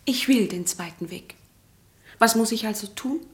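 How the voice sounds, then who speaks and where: An actress playing an earnest, troubled young woman in a German radio play, resolute and at times hushed. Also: fairly high pitch, moderate pace, lightly acted.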